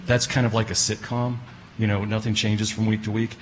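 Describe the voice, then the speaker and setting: Male audience member. Low pitch, casual remarks, slightly noisy room recording. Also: flat delivery, a little fast. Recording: live room with background noise.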